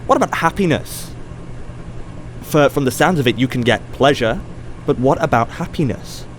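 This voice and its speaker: A man acts out an inquisitive ethics professor with a medium-pitched voice. He is conversational and probing, feeling his way through his questions. It sounds like an audio drama, with faint city ambience behind him. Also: expressive, normal speed, slight background noise, lightly acted. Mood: fascinated, thoughtful. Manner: conversational, probing, hesitant restarts.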